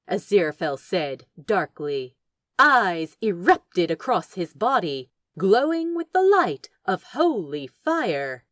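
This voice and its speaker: A woman's voice: fairly low pitch, no echo, studio quality. A woman reading a story aloud as a scripted narrator. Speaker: audiobook-style narrator of fan fiction.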